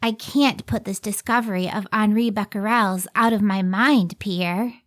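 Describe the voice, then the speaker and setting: A woman's voice: medium pitch, fairly even intonation, measured pace, natural voice. A woman playing a thoughtful, dutiful young woman scientist in an old-time radio drama style. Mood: intent curiosity.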